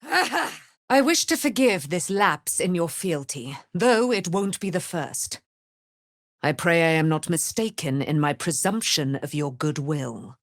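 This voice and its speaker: A woman's voice: lowish voice, expressive, slow pace, no room sound, clean studio sound. An actress voicing a proud, righteous, vengeful immortal female knight in a grand, archaic, declamatory fantasy game style. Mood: stern reproach. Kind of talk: theatrical fantasy game voice acting.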